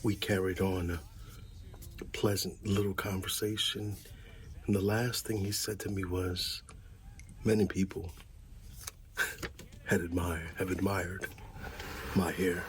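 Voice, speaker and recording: A man speaking in a soft, half-whispered ASMR roleplay style as a gently encouraging friend, leaving long pauses. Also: low-pitched, level tone, a little hiss or hum.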